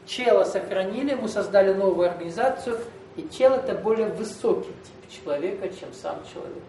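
A man's voice: sits high, brisk, mellow. A man lecturing to a gathered group as a spiritual teacher, explaining and asking rhetorical questions. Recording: echoey hall, recorded from a distance with faint noise.

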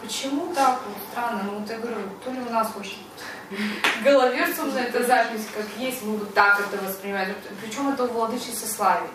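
Woman leading a group meditation, kinda halting, far from the mic with noise behind her. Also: a little high. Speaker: guide of a group visualization session. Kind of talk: unscripted guided meditation with casual discussion. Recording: very echoey, distant mic, clear background noise.

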